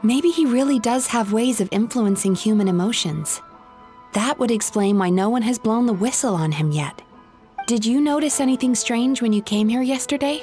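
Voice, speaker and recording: A woman voicing a calm young dream therapist who explains things gently and clearly. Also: on the high side, moderate pace, close to an everyday voice. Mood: composed. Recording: game audio with faint music underneath.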